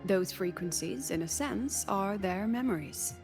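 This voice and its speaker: A cool, eccentric female academy president from a video game, with a mid-pitched voice. She is composed, deliberate and aloof, speaking in clipped statements over faint music. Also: expressive, darkish tone. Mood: composed, explanatory. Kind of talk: scripted video game voice acting. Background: game music under the voice.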